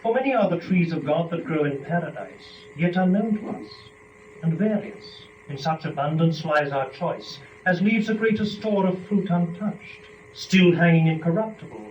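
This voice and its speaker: A man playing an innocent, courteous, curious first woman, speaking formal verse in a measured dramatic reading. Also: a little high, close to an everyday voice. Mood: courteous, questioning. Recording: echoey, distant, dull, faintly noisy.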